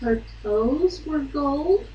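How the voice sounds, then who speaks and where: A woman's voice: sits high, animated, drawn-out words, dark tone, dull audio. A woman hosting a casual comedy podcast, tossing in sarcastic, incredulous remarks. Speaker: podcast host. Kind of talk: casual joking reactions. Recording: noisy, distant mic, clicks.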